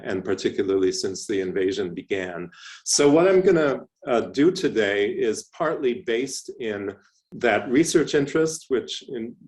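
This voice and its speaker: A middle-aged man from Canada giving a lecture presentation, speaking in a thoughtful, measured way with frequent restarts and fillers. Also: on the low side, a little mellow. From Canada (Toronto). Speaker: professor giving a talk.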